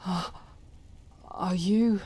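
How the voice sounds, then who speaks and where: A woman playing a devout warrior in a game mod, earnest and solemn, with halting formal phrasing and breathy whispers. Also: lowish voice, steady tone, noisy background. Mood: humbled, tender. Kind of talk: dramatic video game mod voice acting.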